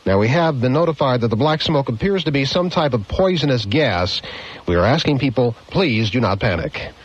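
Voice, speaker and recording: An actor playing a radio anchor who speaks haltingly, with frequent hesitations. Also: male voice, mid-range voice, lively intonation, natural voice. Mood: worried. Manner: halting, with many uh's. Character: male radio station anchor. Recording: dull radio sound.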